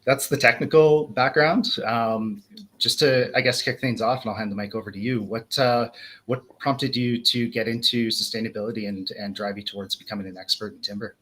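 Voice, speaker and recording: A man's voice: mid-range voice, steady tone, brisk, fairly bright tone. A man in his thirties hosting an online webinar over a video call, introducing a guest and asking questions. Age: 30s. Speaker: host. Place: indoor room, video call.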